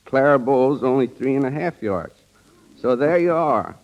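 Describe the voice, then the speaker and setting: A man delivers prepared interview answers on vintage radio. His manner is formal and measured, his pitch average, and the recording is dull and lo-fi. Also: animated. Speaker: studio wardrobe director, radio guest. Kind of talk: scripted 1930s radio interview. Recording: dull old 1930s radio broadcast.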